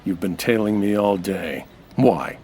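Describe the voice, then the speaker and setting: An actor playing a suspicious, hard-boiled American nightclub owner who narrates in a wry, terse radio noir style. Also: male voice, deep voice, animated, unhurried, dark tone, slight background noise. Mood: gruff, demanding. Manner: wry, terse, tough-talking. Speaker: actor playing lead detective-style hero. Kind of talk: old-time radio noir monologue and dialogue.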